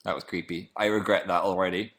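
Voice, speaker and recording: A man in his twenties from England with a deep voice, chatting playfully on a webcam livestream while reading comments. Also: fairly even intonation, fast, gravelly, fairly bright tone. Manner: playful, jumps between high and low pitch. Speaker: livestream host. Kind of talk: casual live chat reading comments.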